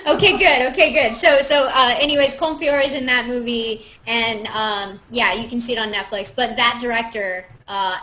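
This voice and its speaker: A woman hosting an online group hangout, chatting casually and informally and reading aloud in an animated way. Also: mid-range voice. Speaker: host of a video hangout, actress. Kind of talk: casual video-call chat and reading comments aloud. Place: online group video call. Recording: dull, lo-fi video call audio.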